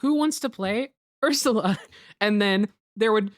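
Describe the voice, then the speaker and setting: A woman's voice: mid-range voice, a little slow, dry recording. Woman rambling casually, close dry sound. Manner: rambling, peppered with "like" and swearing. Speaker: host of a group commentary on a fan dub. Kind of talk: casual chat, explaining production process.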